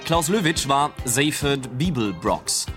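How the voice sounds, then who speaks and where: Male announcer for radio play credits, mid-pitched, scripted delivery, prominent music underneath. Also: animated, slow pace.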